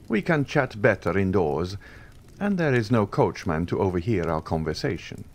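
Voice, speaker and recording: A man's voice: on the low side, sing-song, normal speed. A man doing the Count of Monte Cristo, suave and ironic. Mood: courteous, ironic. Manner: half-jesting, smoothly courteous. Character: suave, coolly ironic older nobleman, male.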